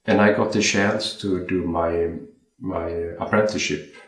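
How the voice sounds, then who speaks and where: A young man from Sweden talks about his watchmaking career in an easy, halting way, restarting and repeating words as he thinks. His voice sits very low. The sound bounces around a home room. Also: steady tone. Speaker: watchmaker guest. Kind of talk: casual interview chat. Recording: roomy home interview.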